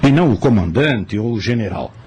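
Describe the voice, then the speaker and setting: A man's voice: lowish voice, lively intonation, fairly quick, a little mellow, breathy edge. A man playing a curious, puzzled seeker questioning his guide in a radio drama.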